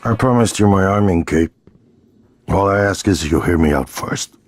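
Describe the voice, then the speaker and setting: A man playing a persuasive, scheming sci-fi executive in a video game, speaking in a measured, earnest way. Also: expressive, soft background music. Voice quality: low, husky. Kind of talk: dramatic video game acting.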